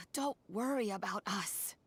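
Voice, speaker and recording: A woman voicing a gentle, wise and patient elderly granny in an anime video game. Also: mid-range voice, takes it slowly, slightly rough. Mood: gently advising. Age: older.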